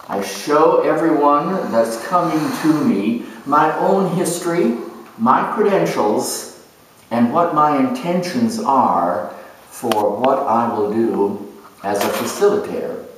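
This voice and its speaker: A man performing a first-person character monologue in a deliberate, measured, theatrical way with emphatic phrasing. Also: mid-range voice, expressive, a little hiss or hum. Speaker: astrologer dramatizing zodiac character types. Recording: echoey room, distant microphone.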